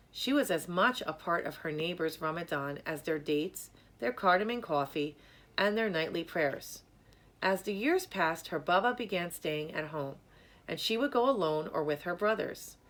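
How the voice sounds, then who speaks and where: A woman narrates a children's storybook in a slightly low voice. Her delivery is measured and expressive, like scripted storytelling read aloud. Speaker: storybook reader.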